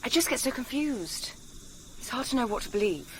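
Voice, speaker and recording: A woman's voice: on the high side, very animated, a little hiss or hum, low-quality recording. An actress playing a confused, hurt and defiant young woman in a radio drama, emotional and earnest. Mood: guarded, confused. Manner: emotional, earnest, quick to flare.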